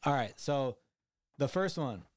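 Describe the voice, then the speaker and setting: A man with a monotone voice hosting a casual podcast chat, dry and teasing. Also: mid-range voice, a little slow, dry recording. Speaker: podcast host.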